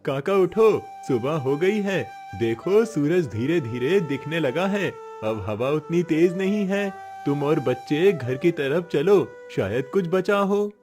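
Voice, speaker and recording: A man's voice: fairly high pitch, animated, slow, hardly any pauses, a little mellow, soft background music, pitched above the performer's own voice. A man acting an earnest, helpful young village man in a cartoon moral story, eager and supportive. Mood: urgent, supportive.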